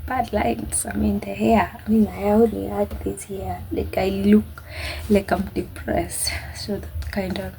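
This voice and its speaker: A woman with a Kenyan accent talks casually to her viewers. Her voice has a noticeable creak and sits at an ordinary pitch. She recounts things in a halting, chatty way, over faint background noise. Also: level tone, warm tone. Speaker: vlogger. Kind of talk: casual personal storytelling to camera.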